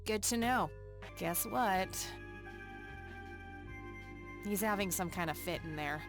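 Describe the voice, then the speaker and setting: A blunt, sardonic young detective. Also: female voice, mid-range voice, slow pace. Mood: dry. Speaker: detective heroine of an adventure game. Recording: game audio with music behind.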